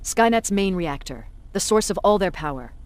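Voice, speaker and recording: An actress playing a cynical, blunt resistance commander in a video game, with a measured, sardonic delivery. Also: female voice, fairly low pitch, expressive, smooth, close to an everyday voice. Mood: brisk, commanding. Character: hard, cynical female resistance military commander, self-declared bully.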